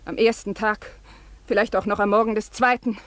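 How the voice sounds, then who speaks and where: An actress playing an anxious, devoted former governess in a German radio drama, speaking in a deferential, formal and hesitant way. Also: female voice, medium pitch, steady tone, normal speed, no room sound, close to an everyday voice.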